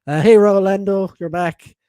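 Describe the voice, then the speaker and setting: A man's voice: high voice, steady tone, normal speed, warm tone, clean tone, no echo, clean studio sound. A man in his forties hosting a casual live-stream podcast, reading chat messages aloud, laughing often and swearing freely. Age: 40s.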